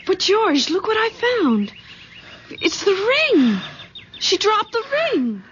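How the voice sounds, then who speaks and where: A woman playing an anxious, excitable young woman in a vintage radio drama, with an urgent, alarmed manner. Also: very high voice, slow pace, exaggerated voice. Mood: excited alarm. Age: young adult. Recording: dull, faintly noisy vintage radio.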